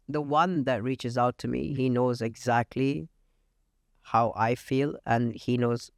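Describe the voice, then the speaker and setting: A man from India, a real estate agent talking as a podcast guest, confident and matter-of-fact with frequent pauses. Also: medium pitch, creaky, no room sound, clean studio sound. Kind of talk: casual interview talk. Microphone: podcast studio mic.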